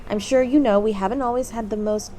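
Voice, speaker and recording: A woman playing a cheery, courteous demon receptionist who pitches a resort to a guest in a scripted audio roleplay. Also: steady tone, fast talker, dark tone, a little hiss or hum, natural voice. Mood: cheery, reassuring. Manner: bubbly, courteous customer-service patter. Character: cheery demon hotel receptionist, eager and polite, salesy.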